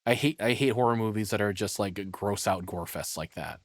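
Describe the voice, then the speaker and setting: A man's voice: lowish voice, fairly quick, no echo, studio quality. A man giving casual live reaction commentary as a streamer, blunt and profane, rambling through his opinions as he thinks aloud.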